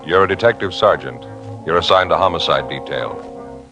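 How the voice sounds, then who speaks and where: A man announcing a 1950s radio crime show and its sponsor in a grave, measured, formal manner. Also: sits low, animated, music in the background.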